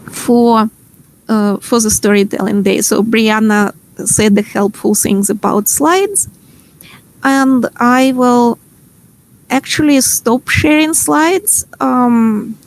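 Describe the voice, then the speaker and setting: Slow-speaking Ukrainian-accented woman. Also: on the high side, low-quality recording. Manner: gentle, playful instructions with frequent pauses and fillers. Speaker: math workshop facilitator. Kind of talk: online workshop teaching. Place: at home.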